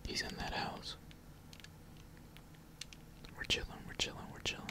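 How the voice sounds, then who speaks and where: Whispering ASMR gamer. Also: measured pace. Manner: slangy, laid-back, casual swearing. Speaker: gaming streamer. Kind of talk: whispered ASMR gameplay commentary.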